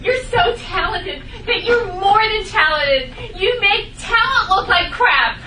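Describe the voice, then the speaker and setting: A woman acts out an effusive, excitable mom in a sitcom read. The pitch is extremely high. The sound is distant and echoey, with heavy noise around it. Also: takes it slowly, full character voice. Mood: gushing. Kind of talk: comedy script table read. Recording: distant, echoey room, very noisy.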